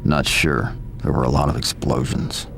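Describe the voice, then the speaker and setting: An actor playing a grim male vigilante out for revenge in a video game, brooding and menacing in a hushed, gravelly rasp. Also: rumbling low, little pitch movement, moderate pace, full character voice. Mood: deadpan. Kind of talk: noir video game monologue. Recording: reverberant voice under loud music. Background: loud music.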